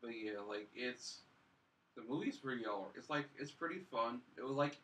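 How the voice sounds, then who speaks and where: Young man, bright voice, casual movie panel chat, halting and repetitive, slightly distant mic, light room noise. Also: medium pitch, animated. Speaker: panelist on a film review show.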